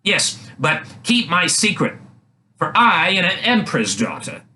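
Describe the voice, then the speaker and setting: A man's voice: high voice, very animated, a little slow, pushed and bright, slight background noise, voice pushed up, exaggerated voice. A man voicing a haughty, spoiled young princess in a fairy tale, imperious and affected.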